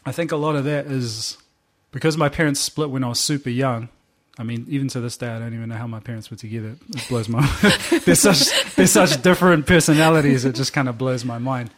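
A man's voice: medium pitch, brisk. A young man with a New Zealand accent, a musician guest chatting casually and laughing often as he tells stories. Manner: relaxed, laughs often, slangy storytelling. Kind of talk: casual interview chat.

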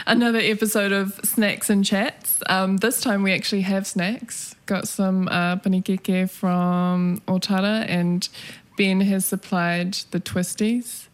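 A woman's voice: mid-range voice, little pitch movement, takes it slowly, few pauses. A woman hosting a chat podcast, giggly and chatty as she asks questions. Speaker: podcast host. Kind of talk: casual interview chat.

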